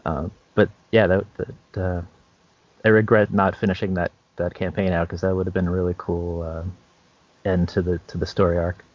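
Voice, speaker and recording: A man co-hosting a podcast, chatting casually in loose, hesitant phrases with many pauses. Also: sits low, little pitch movement, dry recording. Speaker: podcast co-host. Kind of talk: casual chat. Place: podcast recording. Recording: dull lo-fi, very dry sound.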